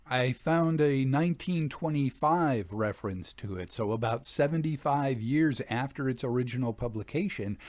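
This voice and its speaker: Expressive male storyteller, dry close sound. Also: medium pitch, dry recording. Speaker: storytelling podcast host and reader. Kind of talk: scripted introduction and literary narration.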